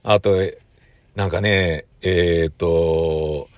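A man's voice: sits low, steady tone, drawn-out words, no room sound. A middle-aged man rambling casually and colloquially on his own as a host, leaning emphatically on key words. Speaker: audio enthusiast host. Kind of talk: casual solo chat.

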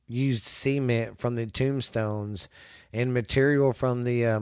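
A congested man hosting his own ghost podcast at an unhurried, drawling pace. He rambles along casually and now and then sinks into a low spooky whisper. The close mic sounds dry and dead, with no room around it. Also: smooth, no echo. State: stuffy, congested from sinuses. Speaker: podcast host.

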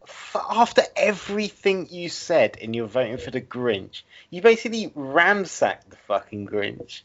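A man's voice: on the high side, big pitch swings, a little slow, fairly bright tone. Essex man, podcast host, rambling and argumentative, dull lo-fi recording. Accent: Essex English. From Essex, England. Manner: rambling, argumentative, self-correcting. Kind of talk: casual banter. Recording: dull lo-fi sound.

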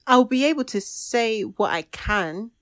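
A woman's voice: a little high, lively intonation, unhurried, fairly bright tone, dry recording. Woman, candid and thoughtful, dry close recording, casual chat.

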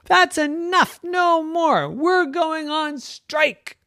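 An adult voicing a chorus of defiant stuffed toys going on strike, in a squeaky, shouty chant for a bedtime story. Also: very slow, no echo, studio quality, full character voice.

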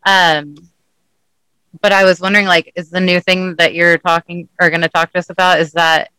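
A man from Dallas with a bright, smooth voice, recorded dry over a video call. He chats freely as co-host, asking curious questions and swearing casually. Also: high voice, level tone, normal speed, dry recording, rough recording. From Dallas, Texas. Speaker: podcast co-host. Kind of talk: casual interview chat.

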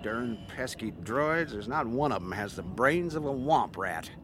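A man's voice: mid-range voice, animated, unhurried, music in the background, lightly acted. A man playing a grumpy, irritable used-droid dealer in a radio drama, folksy and exasperated. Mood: annoyed. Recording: clear background ambience noise.

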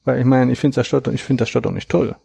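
A man in his thirties, a guest in an interview, explaining at length in a reflective, measured way with a gravelly, husky voice. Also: mid-range voice, fairly even intonation, mellow, no echo, studio quality. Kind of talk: conversational interview.

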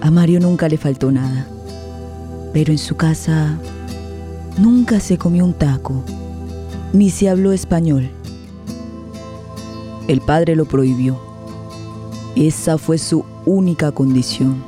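A woman narrates a radio drama in a very dark, soft-edged tone. Her delivery is sombre and measured, with faint music beneath. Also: low voice. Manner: measured, deliberate phrasing.

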